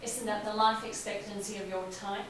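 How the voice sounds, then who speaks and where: A woman's voice: mid-range voice, flat delivery, normal speed, lightly acted. A woman acting a scornful, domineering wife in a stage drama, with a cutting, sneering delivery. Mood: mocking. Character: scornful, domineering wife and hostile sister-in-law. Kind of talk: amateur stage play acting. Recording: echoey room from far off, faint noise.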